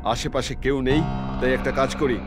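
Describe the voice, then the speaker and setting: A man's voice: mid-range voice, level tone, moderate pace, loud music behind the voice, lightly acted. A man playing an evasive, reckless young college student in a horror drama, talking defensively. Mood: urgent, callous. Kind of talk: dramatised horror story acting.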